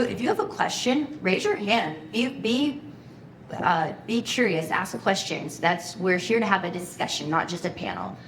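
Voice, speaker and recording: A woman's voice: mid-range voice, a little hiss or hum. A woman moderating a panel, addressing a room of students in an upbeat, organizing way with many fillers. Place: high school room. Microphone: handheld microphone.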